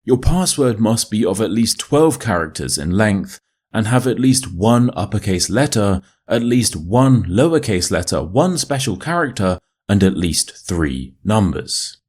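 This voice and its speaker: A man with a modern RP British accent reading a short story as an English teacher, in a measured, clearly enunciated way. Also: fairly low pitch, sing-song, a little mellow. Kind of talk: scripted story narration for listening practice.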